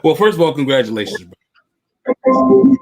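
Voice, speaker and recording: A man's voice: on the high side, huge pitch swings, normal speed. A man hosting a podcast interview, speaking in a friendly, loose way with street slang and calling his guest brother. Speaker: host.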